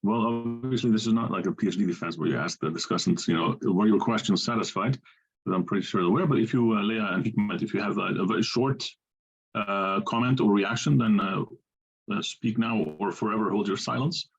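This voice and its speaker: A man moderating an online panel, brisk and lightly joking, on dull lo-fi call audio. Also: fairly low pitch, gravelly, husky.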